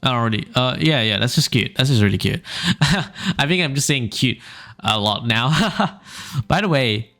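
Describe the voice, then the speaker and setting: A young man hosting a casual podcast, reading funny stories aloud in a smooth low voice, rambling with fillers and small laughs. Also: unhurried. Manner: relaxed, rambling, frequent fillers and little laughs. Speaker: podcast host. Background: faint background music.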